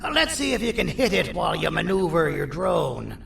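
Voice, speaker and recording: An eccentric monster surgeon from a video game, speaks in a high voice thick with gravelly creak. He is theatrical and sly, stretching words grandly and adding wry asides. The sound is roomy and echoing. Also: very animated, normal speed, exaggerated voice. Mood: showman-like, eager. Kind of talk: video game cutscene character acting. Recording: roomy echo, distant, faint background noise.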